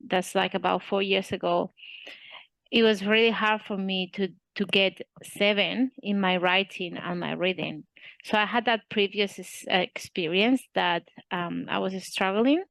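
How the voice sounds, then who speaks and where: Creaky-voiced woman with a Spanish accent. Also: mid-range voice, steady tone, measured pace, fairly bright tone, no room sound, low-quality recording. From Spain. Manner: hesitant, many fillers and restarts. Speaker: student interviewee. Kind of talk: casual interview.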